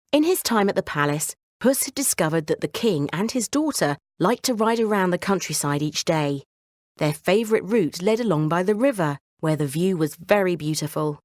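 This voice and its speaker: Lively woman reading a bedtime story. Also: fairly low pitch, dry recording, studio quality. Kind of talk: scripted children's bedtime story narration.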